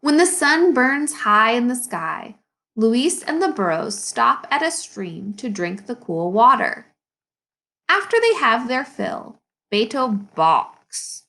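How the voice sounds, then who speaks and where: A woman speaking as an elementary school teacher, reading a children's picture book aloud in an expressive, instructive way and pausing to explain and ask questions. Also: a little high.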